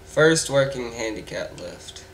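A man's voice: mid-range voice, expressive, unhurried. A young man with a cold, casually rambling through gameplay commentary with an offhand manner. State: congested from a cold. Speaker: gameplay video maker. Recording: noisy home mic with keyboard sounds.

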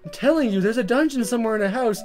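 A woman's voice: on the high side, rapid-fire, over music. A woman hosting a let's play, reading dialogue aloud dramatically and commenting in a playful, exaggerated way. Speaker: gaming video host.

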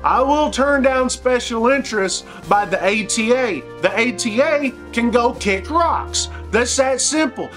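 High, bright-voiced joking host. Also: male voice. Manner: joking, rambling, folksy. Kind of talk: casual commentary over clips.

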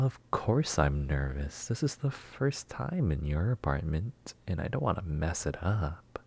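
Man playing a husky, slow-talking flirty neighbor. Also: on the low side, dark tone, heavily acted. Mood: shy, flustered. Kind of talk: intimate roleplay ASMR.

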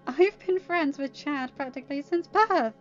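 Woman acting sweet teen girl, chatty, high voice, storybook character, lo-fi sound. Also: normal speed, soft background music, lightly acted. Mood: friendly.

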